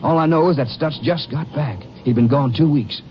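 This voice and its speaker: An actor playing an eager, inquisitive sidekick to a lawman, brisk and questioning, in a 1950s radio drama. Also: on the high side, background noise, lightly acted. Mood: curious. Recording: dull old radio recording, loud music bed.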